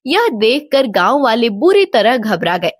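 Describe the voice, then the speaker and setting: A woman's voice: a little high, sing-song, measured pace, dry recording, studio quality. A woman storyteller giving scripted narration of a moral tale.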